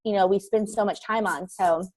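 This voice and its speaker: A woman talking casually and excitedly about podcasting, laughing often and running sentences together. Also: mid-range voice. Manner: bubbly, laughs often, fast run-on sentences. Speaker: podcaster guest. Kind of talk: casual panel chat.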